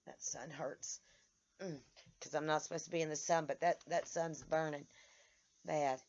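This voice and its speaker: Hoarse woman, vlogger, tired, halting delivery full of restarts; muffled home-video sound. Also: low-pitched, fairly even intonation, darkish tone. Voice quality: halting, many restarts and stammers. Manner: tired, speaks in short broken phrases. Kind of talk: casual vlog to followers. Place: outdoors in the sun.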